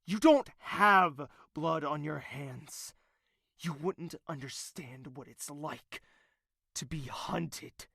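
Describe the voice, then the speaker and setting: Male actor as proud wounded villain prince, sharp bright edge, sardonic, theatrical, dry close sound, fantasy audio drama. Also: fairly high pitch, slow, creaky, breathy edge, no echo, full character voice. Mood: bitter, hurt. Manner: theatrical, sardonic, swinging from hushed to explosive. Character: embittered crown prince, proud self-confessed villain, wounded and defiant.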